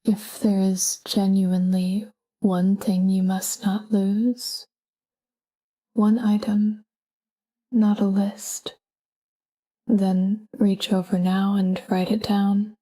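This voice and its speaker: A woman narrating a scripted sleep meditation in a calm, gentle, whispery voice with measured delivery. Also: mid-range voice. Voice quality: breathy, whispery. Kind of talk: scripted guided sleep meditation, soft spoken.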